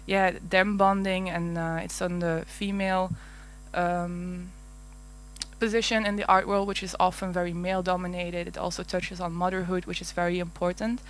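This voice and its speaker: A woman's voice: lowish voice, level tone, normal speed, slight background noise. Flemish woman at a conference mic, nervous and hesitant, fillers and small laughs. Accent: Flemish Dutch. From Belgium. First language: Dutch. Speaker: conference speaker. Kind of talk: conference presentation. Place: large darkened auditorium.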